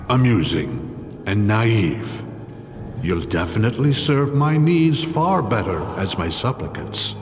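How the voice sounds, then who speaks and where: A man's voice: on the low side, lively intonation. A man playing an arrogant, villainous druid who commands thralls in a grandiose fantasy audio drama style. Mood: smug menace. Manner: grandiose, menacing, slow delivery. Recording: long hall reverb, music behind.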